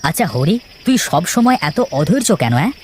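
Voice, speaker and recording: A woman voicing a sensible, hardworking eldest brother in a children's cartoon, firm and gently scolding. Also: on the low side, fairly quick, a little hiss or hum. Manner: steady, scolding, big-brotherly.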